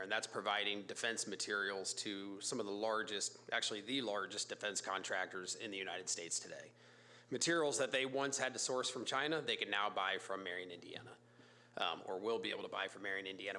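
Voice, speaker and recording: Raspy-voiced businessman with a cold. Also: bright, forceful tone, slight background noise. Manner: informal, fillers and restarts, brisk business pitch. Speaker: company executive addressing city council. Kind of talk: public comment at a council meeting. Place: council chamber.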